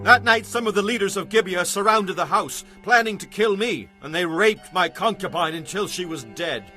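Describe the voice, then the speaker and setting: An actor playing a resolute, earnest Levite traveler in a dramatized audio Bible, speaking in firm statements. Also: male voice, high-pitched, moderate pace, sharp tone, soft background music. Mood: grim.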